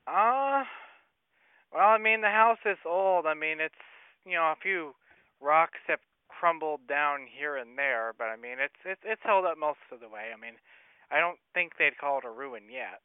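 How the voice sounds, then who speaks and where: A man pranking a caller as an ancient mummy pharaoh, talking in a hesitant, stammering, deadpan way over a tinny phone line. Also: a little high, moderate pace, clear, resonant tone, no room sound, natural voice.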